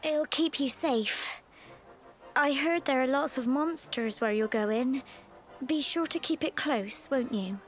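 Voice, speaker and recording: A young village woman in a fantasy game, tender and earnest. Her high voice takes its time, gentle and a little hesitant, over faint music. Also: slow pace. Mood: tender, worried. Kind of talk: scripted video game voice acting.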